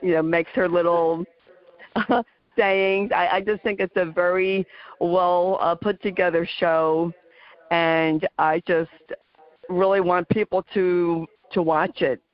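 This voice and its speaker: A woman with a New Jersey accent hosting a talk radio interview, earnest and halting with many fillers. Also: fairly low pitch, steady tone, dull audio. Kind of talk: casual interview chat.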